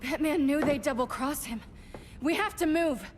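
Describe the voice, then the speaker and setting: An actress playing an earnest young superheroine in a video game, urgent and pleading. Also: female voice, sits high, moderate pace, natural voice. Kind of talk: dramatic video game acting. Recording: clear background noise and clicks.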